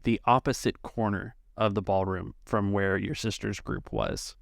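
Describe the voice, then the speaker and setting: A man's voice: lowish voice, steady tone, moderate pace, vocal fry, no room sound, studio quality. A man running a tabletop roleplaying game as game master, narrating scenes and refereeing the players' choices.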